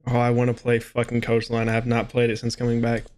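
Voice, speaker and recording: A young man chatting casually over a game, crude, joking and excitable. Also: medium pitch, fast talker, slight background noise. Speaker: gamer, video creator. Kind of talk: casual gaming chat.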